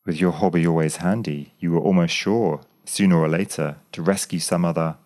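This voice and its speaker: A man's voice: low voice, fairly even intonation, a little fast, darkish tone, close to an everyday voice. A man voicing an impulsive young woman in love, reading her lines from a storybook in a raised, breathless, pleading voice. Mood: teasing.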